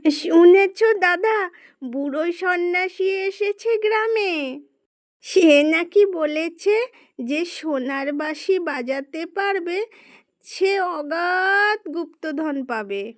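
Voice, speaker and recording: A woman's voice: very high-pitched, slow pace. A woman voicing an earnest little village child in a fairy-tale cartoon, with careful delivery. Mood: eager news.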